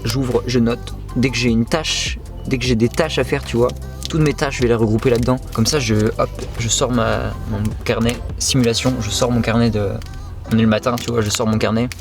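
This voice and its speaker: A young man with a French accent, talking casually to camera in a vlog, relaxed and chatty with fillers and restarts. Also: mid-range voice, fairly quick, over music. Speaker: vlogger.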